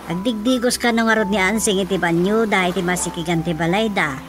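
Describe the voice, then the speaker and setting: A woman's voice: mid-range voice, brisk, music in the background, natural voice. A woman acting a gossipy, complaining villager in an Ilocano radio drama comedy, tattling and wailing with an Ilocano accent. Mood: gossipy, urgent.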